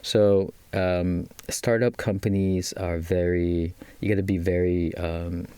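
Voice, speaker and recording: Low-voiced Malaysian man, halting. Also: level tone, warm tone, smooth, dry recording. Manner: halting, many fillers and restarts. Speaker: guest, business executive. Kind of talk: casual interview talk.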